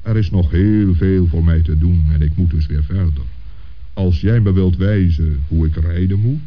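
An actor playing a dignified, kindly old saint in a children's radio play, speaking in a measured, patient and reassuring manner. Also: male voice, low voice, highs cut off.

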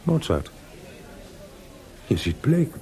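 A man playing Haydn, a calm and kindly senior composer with a measured, reassuring manner, in a Dutch radio play. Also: mid-range voice.

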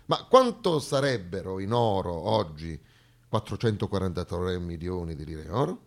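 Low-voiced man in an emphatic theatrical monologue. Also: animated, moderate pace. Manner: emphatic, rhetorical, swinging between hushed and loud. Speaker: stage actor and monologist.

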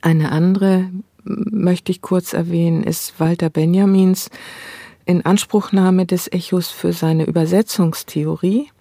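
Female scholar as interview guest, low soft-edged voice, reflective and explanatory, very dry close sound. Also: steady tone, measured pace, creaky, dry recording. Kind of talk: academic interview, explaining ideas.

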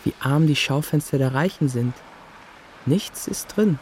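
An actor playing a curious, cheeky, candid schoolboy in a radio play. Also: male voice, on the high side, a little slow, a little mellow, slight background noise, close to an everyday voice. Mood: curious, frank.

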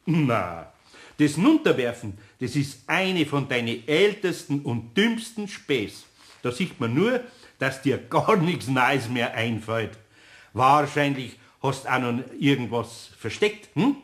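A man's voice: medium pitch, very animated, slow. A man playing an older, good-natured master carpenter in a German children's radio play, speaking with a Bavarian accent and teasing in a dry, unruffled way.

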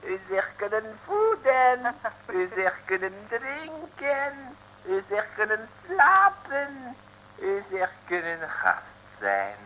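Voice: mid-range voice, wildly swooping pitch, takes it slowly, pushed and bright, a little hiss or hum, exaggerated voice, low-quality recording. A performer in an old radio play voicing a falsely humble, fawning Chinese mandarin who speaks in broken pidgin phrases. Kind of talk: 1960s radio play acting.

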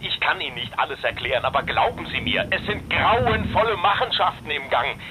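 Radio drama role: desperate male fugitive on phone, high, swooping voice, insistent, faint rumble. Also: lively intonation, a little fast. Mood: urgent, fearful. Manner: urgent, insistent. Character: urgent, pleading male fugitive warning a woman. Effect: telephone call. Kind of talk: radio play acting. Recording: phone call with rumble and noise.

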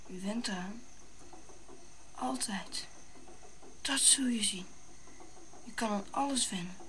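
A woman's voice: mid-range voice, takes it slowly, a bit bright, slight background noise. A woman playing an anxious, curious young African boy in a radio drama, with a simple childlike way of questioning. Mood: quiet, tender.

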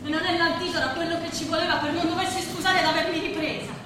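A very high female voice rings from far off in a noisy, heavily echoing hall. It is an actress as a weary, wounded woman in a stage play, reproachful and pleading. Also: steady tone, quick pace. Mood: bitter. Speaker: stage actor. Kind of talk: Italian stage drama dialogue. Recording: distant, echoey hall with background noise.

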